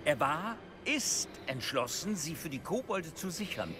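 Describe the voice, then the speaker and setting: An actor playing a wary, proud old male goblin lord in a German game dub, speaking in a stern, measured way. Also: fairly high pitch, big pitch swings, noisy background. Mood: grave. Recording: game audio with music behind.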